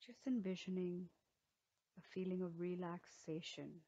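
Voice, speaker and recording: Soothing, mellow-voiced woman guiding meditation. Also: lowish voice, steady tone, muffled recording. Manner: slow, soothing, gentle pauses. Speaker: yoga teacher. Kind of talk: guided meditation.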